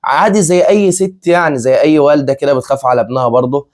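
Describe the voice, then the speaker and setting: A man's voice: on the high side, racing, darkish tone. A man in his twenties with an Egyptian Arabic accent, chatting casually with viewers as a family vlog host, repeating himself and laughing often. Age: 20s. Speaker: vlogger, channel co-host.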